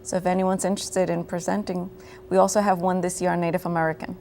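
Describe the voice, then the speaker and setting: A woman's voice: on the low side, little pitch movement, fast, gravelly, dark tone, a little hiss or hum. A woman, a program coordinator, explaining her work earnestly as a guest on a TV interview. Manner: earnest, explanatory, uses "you know" often.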